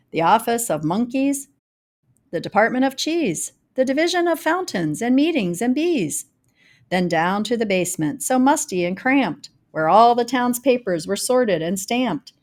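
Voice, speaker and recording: A woman reads a rhyming children's storybook aloud, telling it in a dramatic, deliberate way with varied emphasis. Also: on the high side. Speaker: storybook reader. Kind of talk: expressive read-aloud of a rhyming children's picture book.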